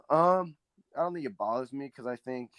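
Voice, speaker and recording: A teenage quarterback from Southern California, a native Spanish speaker, answering interview questions earnestly with many ums and restarts. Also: male voice, medium pitch, moderate pace, fairly bright tone, no echo. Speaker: high school quarterback guest.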